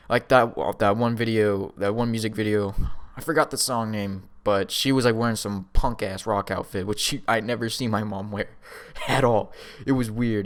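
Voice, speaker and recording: A young man casually telling a first-person story in a rambling, slangy way, often with a chuckle. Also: lowish voice. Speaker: storyteller vlogger.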